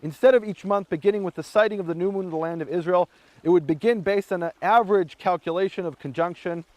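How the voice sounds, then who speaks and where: A man teaching on scripture and history in a storytelling style, raising his voice to act out what the people in his story said. His voice sits fairly high, and the sound is close and dry with no room echo. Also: quick pace. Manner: animated storyteller acting out shouted quotes. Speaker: Bible teacher.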